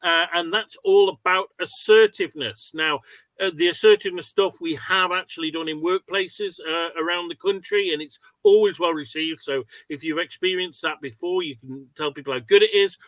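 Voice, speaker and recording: A man's voice: sits high, moderate pace, fairly bright tone, no room sound, rough recording. A man hosting an online webinar with an informal, chatty and encouraging introduction. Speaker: webinar host. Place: online video call.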